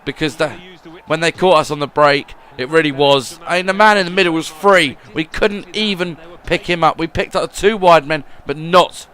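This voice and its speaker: Bright-voiced man commentating a football game. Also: a little high, moderate pace, few pauses, clear, resonant tone. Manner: rambling, repeats words, swings between gloom and excitement. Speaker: video game YouTuber.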